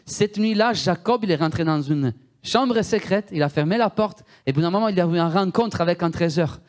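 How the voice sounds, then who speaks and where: A man's voice: high voice, brisk. A man preaching a sermon in French with a strong Italian accent, Italian being his first language, emphatic and often repeating himself and asking the listeners questions.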